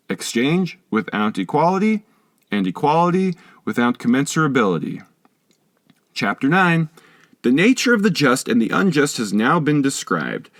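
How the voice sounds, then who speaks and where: A man reading a philosophical text aloud as an audiobook narrator, in a measured, didactic manner. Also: medium pitch, no echo, clean studio sound. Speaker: audiobook reader.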